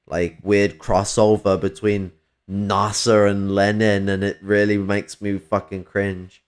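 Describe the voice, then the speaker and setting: This is a man with a Northern English accent and a fairly low voice, a little whiny in tone. He rambles through casual political chat, swearing freely and tripping over fillers and restarts as he goes. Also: level tone, slow pace, clear, resonant tone. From Northern England. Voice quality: slightly whiny.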